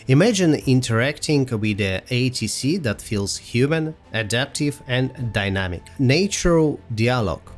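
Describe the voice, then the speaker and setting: Male narrator for a software promo video: mid-pitched, halting, semi-scripted delivery over light music. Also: animated. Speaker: presenter of a software roadmap video.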